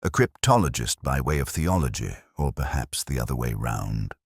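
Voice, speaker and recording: A man narrating a scripted detective story in the first person, with a husky voice and a measured, solemn, literary delivery. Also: rumbling low, lively intonation, no echo, clean studio sound.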